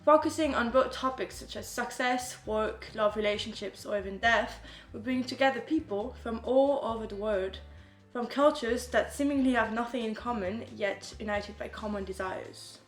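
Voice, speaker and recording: A woman's voice: fairly high pitch, normal speed, echoey room, faint music behind. A woman from France hosting a podcast, reading a scripted welcome and then chatting casually with guests. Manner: reads a bright scripted welcome, then asks questions with many "like"s. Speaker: podcast host.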